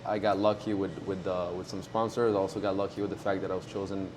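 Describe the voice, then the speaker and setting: A man in his twenties from Morocco, a pro tennis player talking casually in an interview, relaxed and halting with many fillers. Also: low-pitched, background noise. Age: 20s.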